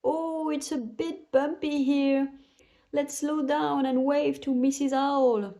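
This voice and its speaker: A kindly gazelle playgroup teacher from a children's storybook, voiced by a woman. She speaks fairly high with a soft, mellow tone. She gives instructions in an encouraging, teacherly way. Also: flat delivery, slow pace. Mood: playful calling. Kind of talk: children's storybook read-aloud.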